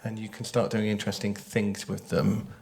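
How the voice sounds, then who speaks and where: A man speaking as a panellist, thoughtful and measured, feeling his way through his phrasing. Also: lowish voice, monotone, vocal fry, a little mellow. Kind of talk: panel discussion at a festival.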